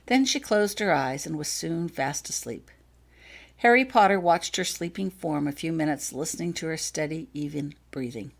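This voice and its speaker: Woman narrating a story with lively intonation. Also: deep voice, moderate pace, vocal fry. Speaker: author reading own fan fiction. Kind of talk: expressive scripted storybook narration.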